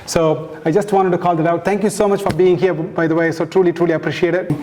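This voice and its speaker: A man whose first language is Tamil, giving an informal, halting speech as the author at a book launch, with many restarts and fillers. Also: high voice, level tone, darkish tone, a little hiss or hum.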